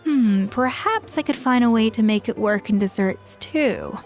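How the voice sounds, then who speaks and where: Earnest young cook, high pitch, animated, eager, anime game voice, faint music. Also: female voice, unhurried. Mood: thoughtful, eager. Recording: music behind the voice.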